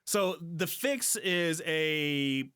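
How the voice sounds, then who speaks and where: A man's voice: a little high, expressive, drawn-out words, bright, forceful tone, clean tone, no echo, clean studio sound. A man in a casual podcast conversation, thinking aloud informally as co-host.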